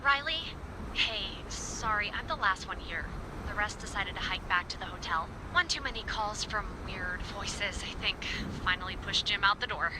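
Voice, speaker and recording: An actress playing an anxious, science-minded researcher in a video game, thinking aloud haltingly over a walkie-talkie. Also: female voice, mid-range voice, background noise. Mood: weary, apologetic. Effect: walkie-talkie radio. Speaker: researcher on a radio. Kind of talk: naturalistic video game acting.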